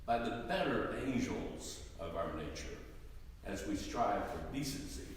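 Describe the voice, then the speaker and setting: A man's voice: lowish voice, sing-song, a little slow. A man narrating a staged dramatic reading in a solemn, oratorical way. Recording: very echoey hall, distant mic, faint noise.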